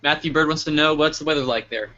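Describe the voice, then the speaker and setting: Bright-voiced male interview host, lo-fi sound. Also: fairly high pitch, fast. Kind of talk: casual live interview hosting.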